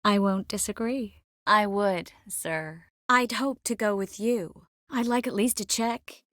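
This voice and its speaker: An actress voicing a young sorceress in a video game, gentle, earnest and determined, with a measured, expressive delivery. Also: female voice, medium pitch, no echo, studio quality. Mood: calm, matter-of-fact. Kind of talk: video game character voice lines.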